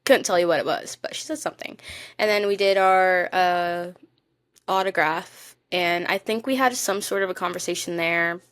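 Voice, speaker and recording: A woman from Florida co-hosting a podcast, talking casually and excitably about TV shows to her listeners. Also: on the low side, moderate pace, clear, resonant tone, no echo. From Florida, United States. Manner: chatty, excitable, addresses listeners as "guys". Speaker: podcast co-host.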